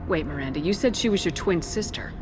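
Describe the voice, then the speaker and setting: An actress playing a steady, direct female military commander in a video game, blunt and controlled. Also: lowish voice, fast, close to an everyday voice. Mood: firm, probing. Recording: long reverb, music behind.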